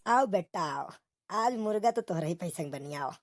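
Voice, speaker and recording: A woman's voice: on the low side, animated, normal speed, very noisy. A woman voicing bickering village policemen in a comic cartoon, with an eastern Uttar Pradesh accent and exclamatory, colloquial banter. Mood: bantering, grumbling. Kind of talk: comic cartoon dialogue in rustic dialect.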